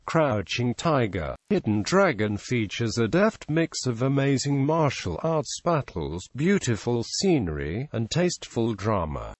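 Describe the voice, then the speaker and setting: A man's voice reading an encyclopedia article aloud in a steady, even, synthetic-sounding way. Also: mid-range voice, no echo. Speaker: narrator.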